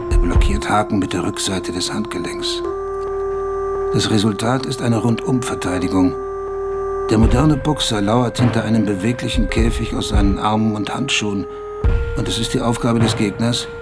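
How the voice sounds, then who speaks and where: A man narrating a scripted radio essay in a measured, literary manner. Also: on the low side. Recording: music bed behind voice. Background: music.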